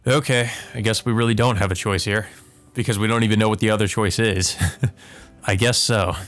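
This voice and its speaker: A man's voice: lowish voice, fairly even intonation, fairly quick, fairly bright tone. A man giving casual commentary and reading visual novel text aloud as a let's play host, with game music behind him. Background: video game music.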